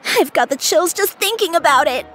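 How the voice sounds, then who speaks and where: A woman's voice: very high-pitched, animated, normal speed, fairly bright tone, faint music behind. An actress playing a suspicious, judgmental townswoman gossiping in a video game. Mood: gossipy, uneasy.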